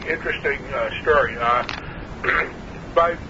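A man's voice: medium pitch, slow pace, lo-fi sound, noisy background. A man in his sixties from New Hampshire, recalling his life in an oral history interview, hesitant with many fillers and a frog in his throat. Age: 60s.

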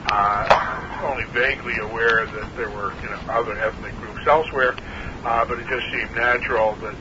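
Sixties man, interviewee, halting and throaty; muffled, hissy phone recording. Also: mid-range voice, fairly even intonation. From New Hampshire. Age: 60s. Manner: hesitant, many uh fillers, measured. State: clearing his throat, frog in throat. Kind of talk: oral history interview.

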